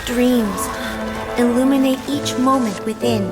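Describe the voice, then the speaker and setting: A woman voicing a gentle, serene departed goddess in a game, speaking with warmth and calm, over background music. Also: on the high side, unhurried, natural voice. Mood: tender. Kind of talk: video game voice acting.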